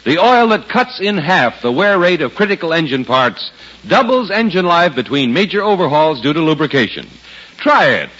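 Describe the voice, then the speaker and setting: A man's voice: on the high side, very animated, moderate pace, fairly bright tone. A male announcer reading 1950s radio advertising in an emphatic, polished manner. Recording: dull old radio recording.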